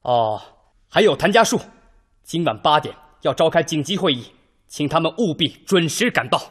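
A man playing a brisk, purposeful senior Red Army officer in a radio drama, with an emphatic, orderly delivery. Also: a little high, lively intonation, husky. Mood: commanding. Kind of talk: Chinese radio drama acting.

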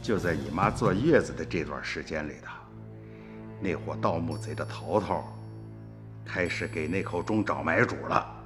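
A male narrator telling a radio drama in a steady storytelling manner. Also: deep voice, moderate pace, over music.

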